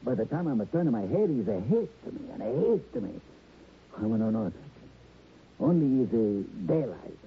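Humble Italian immigrant fiddle mender, Italian accent, very animated intonation, halting broken English, exclamatory, old radio drama, narrow muffled band. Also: male voice, medium pitch, a little hiss or hum. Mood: agitated. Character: emotional Italian immigrant violin repairman, humble shopkeeper.

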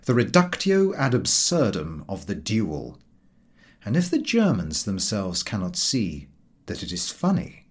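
A man narrating a literary essay as an audiobook reader, in measured, emphatic, oratorical phrasing. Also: on the low side, husky.

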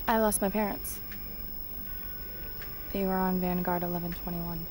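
An actress plays an earnest young woman reporter in a thriller audio drama. Her voice is on the low side and candid, with clear music underneath. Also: steady tone, moderate pace, a little mellow, natural voice. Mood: earnest, somber.

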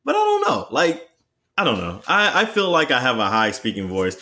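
A young man chats on a podcast with a lively voice that jumps up and down in pitch. He teases his co-host playfully and tells stories with plenty of energy. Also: moderate pace. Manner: animated, playful, teasing. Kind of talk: casual chat.

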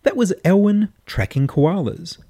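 A man's voice: high-pitched, sing-song, unhurried, dark tone. A man presenting and interviewing as a radio reporter.

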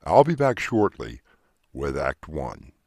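A man hosting a horror audio drama, narrating in a slow, dramatic, portentous way. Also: extremely low pitch, huge pitch swings, vocal fry, a little mellow, husky, dry recording, clean studio sound. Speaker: host and narrator. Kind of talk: ominous horror anthology narration. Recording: music bed behind the voice.

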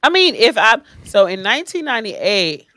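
A woman in her late forties, a podcast guest at home, talking with frank enthusiasm and quick laughing asides. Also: on the high side, sing-song, pushed and bright, no echo. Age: 40s. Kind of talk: casual podcast chat.

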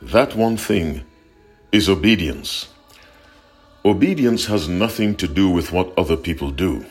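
A man delivers a short broadcast sermon in a very deep voice. His delivery swings widely in pitch, earnest and deliberate, and he repeats his key points for emphasis. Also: animated, soft background music. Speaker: preacher.

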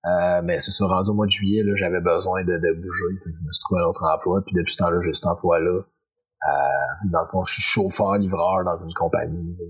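A man from Quebec with a Quebec French accent, a podcast guest answering casually, halting and repeating words. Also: deep voice, fairly even intonation, slightly rough, rough recording.

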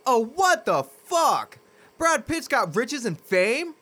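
Man voicing an incredulous, foul-mouthed young guy, wildly swinging pitch. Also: sing-song, slow pace, bright, forceful tone, heavily acted. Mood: exasperated. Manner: crude, incredulous, swearing. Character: young man, exasperated genie master. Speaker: voice actor. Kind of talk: comedy audio drama.

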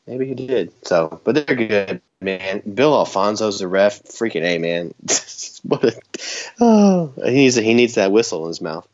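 A man's voice: on the low side, big pitch swings, a little slow, lo-fi sound. A man co-hosting a podcast in casual chat, rambling and joking with frequent laughs. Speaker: podcast co-host.

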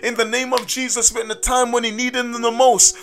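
Impassioned high-voiced preacher man. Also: little pitch movement, fairly quick, vocal fry, a bit bright, soft background music. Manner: impassioned, sing-song preaching cadence; repeats "listen to me". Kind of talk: preaching sermon.

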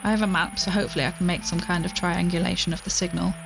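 A woman's voice: fairly low pitch, steady tone, rapid-fire, over music. An actress in an audio drama playing an exhausted, anxious but determined woman who is recording a spoken log. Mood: tired, uneasy. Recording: clearly noisy outdoor recording with crickets.

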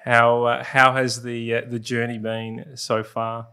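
A man hosting a podcast, reading an upbeat intro and then asking relaxed interview questions. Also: mid-range voice, measured pace, clean tone, no echo. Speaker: podcast host.

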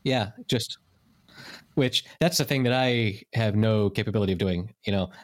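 A man's voice: fairly low pitch, moderate pace. Male podcast host, casual and hesitant, restarts his sentences a lot. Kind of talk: casual chat.

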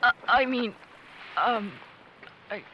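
A woman's voice: a little high, slow, dull audio, noisy background. A woman playing a nervous young traveller in a supernatural audio drama, hesitant and dryly sarcastic. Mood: awkward. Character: nervous young adult on a supernatural road trip. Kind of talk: audio drama acting, conversational.

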